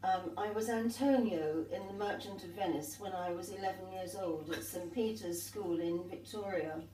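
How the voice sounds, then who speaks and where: Older female artist, mellow and rambling, recorded far off in an echoey sunroom with faint hiss. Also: lowish voice, normal speed. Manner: rambling, warm reminiscing with restarts. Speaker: artist and guest. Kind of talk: conversational storytelling. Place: sunlit glass conservatory at a tea table. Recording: echoey room, distant mic, faint hiss.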